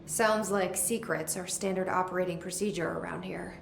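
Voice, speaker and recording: An actress playing a composed, businesslike new director in a video game, speaking curtly and probingly. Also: female voice, on the low side, fairly even intonation, normal speed, gravelly, reverberant, slight background noise. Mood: probing, guarded. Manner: curt, probing questions.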